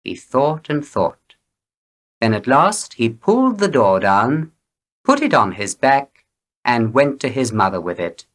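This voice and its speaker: A man's voice: mid-range voice, very animated, measured pace, a bit bright. A man reading short elementary stories aloud as scripted narration for learners. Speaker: story reader.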